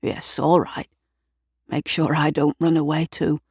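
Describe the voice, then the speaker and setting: A woman's voice: low-pitched, flat delivery, unhurried, gravelly, darkish tone, breathy edge, no room sound, heavily acted. Frail old man performed by a woman, weak and weary, grieving, guarded, few words, dry audio-drama sound. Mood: weary, terse. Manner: terse, weary.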